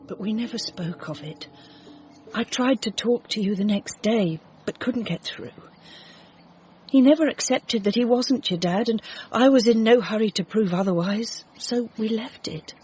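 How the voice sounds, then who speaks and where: A woman acting a regretful, earnest mother confessing to her son in a radio drama, speaking haltingly. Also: a little high, a little mellow, lo-fi sound, faint music behind, lightly acted.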